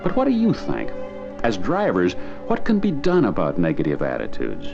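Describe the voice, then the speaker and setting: Deep, gravelly male narrator, dramatically varied delivery. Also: huge pitch swings, moderate pace. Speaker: narrator of a training film. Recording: dull 1980s 16mm film soundtrack. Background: music.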